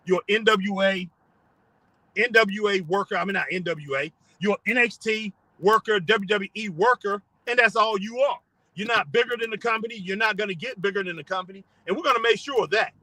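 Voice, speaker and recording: Live-stream host, male, rambling and conversational, talking into a phone in his car, sharp tone. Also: high voice, fairly quick, no echo, rough recording. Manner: rambling, chatty, reads viewer comments aloud. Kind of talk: casual live-stream chat.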